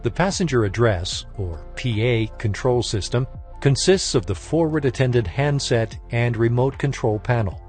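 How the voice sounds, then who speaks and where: A man reading a scripted technical training lesson in a formal, measured, instructional manner. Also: medium pitch, a little mellow. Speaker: instructor narrator.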